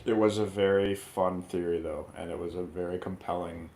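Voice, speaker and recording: A man's voice: low voice, steady tone, normal speed, a crowd in the background. A man chatting casually as a panelist in a movie review discussion.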